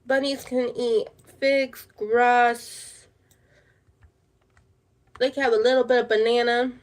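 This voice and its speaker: A woman's voice: fairly high pitch, flat delivery, slow pace. A woman hosting a casual livestream, chatting in a rambling way and repeating her words. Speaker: livestream host.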